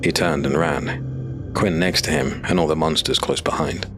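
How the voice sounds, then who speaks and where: A man narrating a dark fantasy audio drama in a measured, steady storytelling voice. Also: rumbling low. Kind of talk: scripted narration. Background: music.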